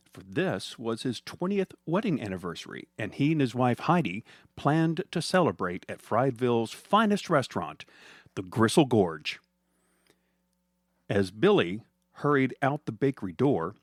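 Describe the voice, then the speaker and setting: Animated male storyteller with lively pitch. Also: no room sound, clean studio sound. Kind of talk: scripted comedic story narration.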